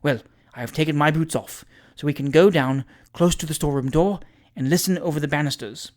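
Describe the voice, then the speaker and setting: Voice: medium pitch, brisk, lightly acted. A narrator voicing a meddling male busybody, an eager and indignant eavesdropper who talks in urgent whispers. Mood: delighted. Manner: energetic hushed whispering.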